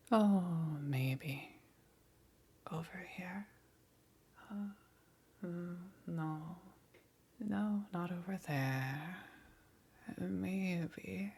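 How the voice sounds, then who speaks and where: A woman playing a sadistic, teasing demon queen in a whispered ASMR roleplay, husky and breathy, with drawn-out, taunting, condescending delivery. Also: fairly low pitch, darkish tone, heavily acted. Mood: teasing, hunting.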